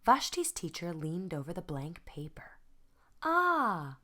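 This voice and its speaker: A woman narrates a children's picture book at a very unhurried pace. Her voice sits a little low and moves expressively, with deliberate phrasing. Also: expressive. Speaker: art teacher reading aloud.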